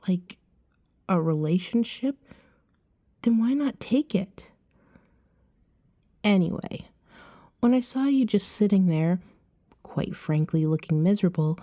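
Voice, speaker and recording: Slow, hushed vampire seductress played by a woman. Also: medium pitch. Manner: soothing, hypnotic, unhurried, often dropping to a whisper. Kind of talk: ASMR roleplay monologue.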